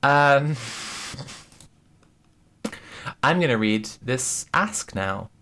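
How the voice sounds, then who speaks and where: A woman's voice: a bass voice, lively intonation, drawn-out words, fairly bright tone, slight background noise. A woman streaming, talking casually and thoughtfully to her chat, with many restarts and fillers.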